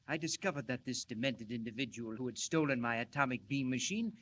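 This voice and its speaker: An actor playing an earnest, secretive scientist who explains formally and deliberately in 1940s radio style. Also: male voice, medium pitch, lively intonation, a bit bright, a little hiss or hum, lightly acted. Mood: assured, explanatory. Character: earnest, proud, secretive male scientist inventor.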